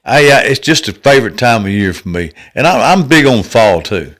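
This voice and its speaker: A man co-hosting a local radio show, a mayor with a deep voice, talking in an easygoing, unhurried way. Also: sing-song, a bit bright. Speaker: mayor, co-host. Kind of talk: casual local radio chat. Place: radio studio.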